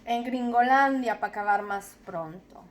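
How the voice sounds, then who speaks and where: A young adult woman from Zacatecas with a Mexican accent, slowly telling her personal story in a reflective, deliberate way. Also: a little high. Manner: slow, deliberate, reflective with repetitions.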